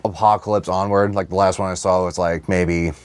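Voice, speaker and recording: A man's voice: deep voice, level tone, a little fast, clean tone, no echo. A man co-hosting a podcast, chatting casually and comedically about films and comics with crude, sweary banter. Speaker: podcast co-host.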